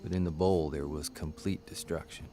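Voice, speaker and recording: An actor playing a weary, stubborn newspaper columnist in an audio drama, halting, irritable and sardonic. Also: male voice, rumbling low, fairly even intonation, dark tone, faint music behind. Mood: subdued, reflective. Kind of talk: naturalistic audio drama acting.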